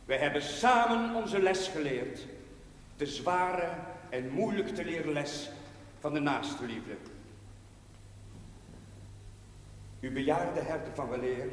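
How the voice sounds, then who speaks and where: Solemn priest in an echoing church. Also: male voice, high voice. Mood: solemn preaching. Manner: measured, emphatic preaching with rising and falling cadences. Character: devout male village priest bidding his parish farewell. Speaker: parish priest. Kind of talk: solemn sermon in a television drama. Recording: echoey church hall reverb, background hiss.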